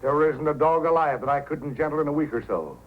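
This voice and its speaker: A man's voice: fairly high pitch, fast talker, lightly acted. An actor playing a spirited, principled Yukon dog man in an old-time radio drama, plain-spoken and challenging. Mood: indignant. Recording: muffled old radio recording, faint noise.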